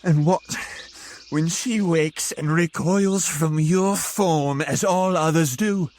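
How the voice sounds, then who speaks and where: Tormented scientist, high-voiced and slow. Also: male voice, a little mellow. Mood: horrified, defiant. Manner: anguished, theatrical, deliberate. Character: tormented, guilt-ridden young man of science. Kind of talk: audio drama acting.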